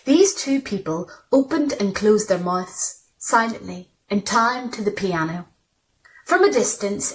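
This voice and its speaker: A woman's voice: medium pitch, slight background noise, poor audio. A woman reads literary short fiction aloud as a storyteller, in scripted narration. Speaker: story reader.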